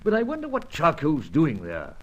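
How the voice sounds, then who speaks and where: An actor playing an older, hearty British secret service chief with plummy, clubby speech, in an old-fashioned radio serial style. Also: male voice, fairly high pitch, wildly swooping pitch, normal speed, a little mellow, muffled recording. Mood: brisk, genial.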